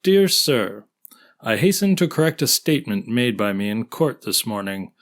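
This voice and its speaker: A man playing a formal prosecuting attorney who reads aloud deliberately in a trial reenactment. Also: lowish voice, big pitch swings. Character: male prosecuting attorney reading a letter, formal. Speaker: actor reading a lawyer's part.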